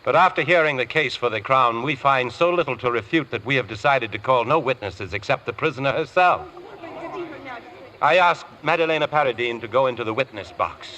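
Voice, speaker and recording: A man's voice: mid-range voice, animated, fairly quick, sharp tone. A man playing an eloquent, intense defence barrister in a vintage radio courtroom drama, speaking in a formal, pressing way. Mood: impassioned, formal. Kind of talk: 1940s-50s radio courtroom drama acting. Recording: faintly noisy vintage radio recording.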